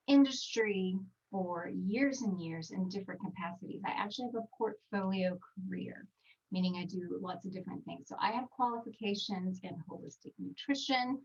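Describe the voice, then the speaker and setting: Texan lady in her 40s chatting thru a webinar, kinda husky, sounds dull and far off. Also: mid-range voice. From Texas, United States. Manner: chatty, with many fillers and asides. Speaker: skincare trainer presenting a webinar. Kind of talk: casual online presentation. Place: small home voiceover studio.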